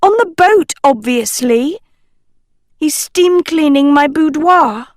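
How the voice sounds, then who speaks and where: A woman voicing a pampered, bossy schoolgirl who yells shrilly in an audiobook. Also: lively intonation, slow, no echo, clean studio sound. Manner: shrill, yelling. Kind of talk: audiobook character voice.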